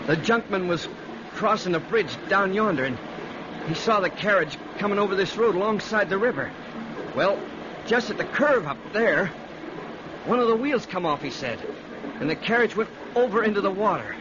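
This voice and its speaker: An actor playing an earnest, excitable, kindly rural American lad in an old-time radio drama, speaking in a halting, folksy way. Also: male voice, high-pitched. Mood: grave, consoling. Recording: muffled, noisy vintage radio recording.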